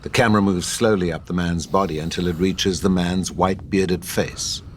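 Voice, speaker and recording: A man's voice: low-pitched, steady tone, normal speed, slight background noise. A man giving scripted narration of stage directions for an audio drama.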